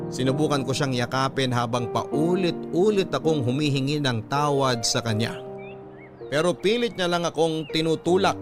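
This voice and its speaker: Male storyteller over background music. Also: medium pitch. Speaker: storyteller narrator. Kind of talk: first-person dramatic narration of a letter. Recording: music under the voice.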